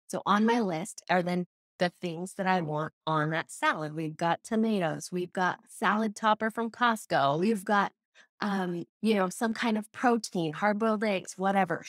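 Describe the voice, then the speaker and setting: A woman in her thirties hosting a casual podcast from a home office, talking in a chatty, expressive way. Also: medium pitch, no room sound, clean studio sound. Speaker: podcast host. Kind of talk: casual solo podcast advice.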